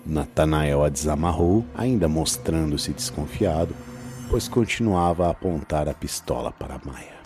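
Casting: male narrator for horror audio drama, extremely low voice, dramatic scripted delivery over soft music. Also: animated, moderate pace.

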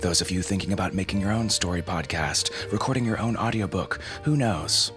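A man's voice: low-pitched, fairly quick, music in the background. A man hosting a podcast, reading scripted narration in a wry, tongue-in-cheek way. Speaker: podcast host.

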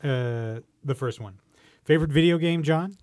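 A man's voice: fairly high pitch, moderate pace, a little mellow, dry recording, studio quality. A man hosting a casual podcast, asking questions with teasing, jokey banter. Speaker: podcast host.

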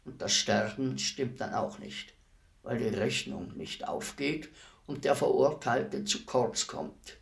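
A weary executioner in a radio play, musing philosophically on death. The voice is mid-pitched with a heavy creak, and the delivery is very slow and deliberate. The manner stays wistful, formal and brooding. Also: male voice, lively intonation, heavily acted. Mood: resigned, melancholy. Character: world-weary executioner, wistful, respectful, philosophical about dying. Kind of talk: radio play acting, slow reflective monologue.

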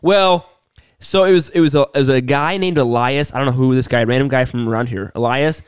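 A man's voice: medium pitch, a little fast, smooth, no room sound, studio quality. Rambling young man with a sore throat. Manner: rambling storyteller, many restarts and fillers. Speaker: podcast co-host. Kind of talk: casual chat.

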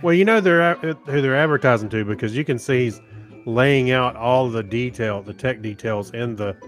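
A man's voice: mid-range voice, moderate pace, faint music behind. A man co-hosting a podcast in a casual, easygoing, conversational way. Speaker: podcast co-host. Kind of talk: casual chat.

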